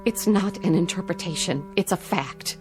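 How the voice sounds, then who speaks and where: एक अभिनेत्री एक आक्रोशित युवा पत्नी की भूमिका निभा रही है, जो गंभीरता से अपने पति का बचाव करती है, पुराने टीवी नाटक में हल्के संगीत के साथ।. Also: female voice, lowish voice, moderate pace, darkish tone, lightly acted. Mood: indignant. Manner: earnest, protesting. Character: indignant young doctor's wife defending her husband. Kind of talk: 1960s TV drama acting. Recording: old TV soundtrack with faint music.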